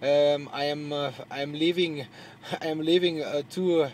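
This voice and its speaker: Halting Hungarian-accented man, higher-pitched, noisy radio audio. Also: steady tone, a little mellow. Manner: halting non-native English, repeats words. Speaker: truck driver and amateur radio operator. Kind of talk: casual radio contact chat.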